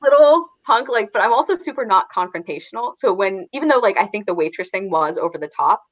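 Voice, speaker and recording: A woman's voice: mid-range voice, animated, fast, muffled recording, slight background noise. A woman in a casual, rambling book discussion, peppering her sentences with "like". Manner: rambling, frequent "like". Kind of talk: casual chat about books.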